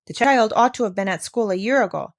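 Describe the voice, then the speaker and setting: A woman's voice: mid-range voice, expressive, a little fast, no room sound, studio quality, close to an everyday voice. A woman voicing a kindly old village pastor in a storybook, earnest and formal in manner. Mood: reproachful.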